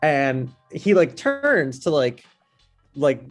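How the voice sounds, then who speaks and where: Laid-back guy, slightly high voice, rambling funny story, faint music behind. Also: sing-song, takes it slowly. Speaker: guest. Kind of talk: casual storytelling chat.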